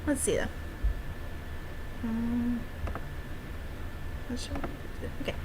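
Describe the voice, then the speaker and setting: A woman's voice: fairly high pitch, fairly even intonation, very slow. A woman streamer talking in a casual, rambling livestream style, playful and given to cutesy little exclamations. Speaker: streamer and amateur game developer. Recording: clear background noise.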